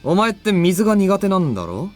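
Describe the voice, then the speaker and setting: An actor playing a cocky, fearless young fighter in a visual novel, taunting and self-assured. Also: male voice, sits high, sing-song, rapid-fire, soft background music. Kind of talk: Japanese visual novel voice acting.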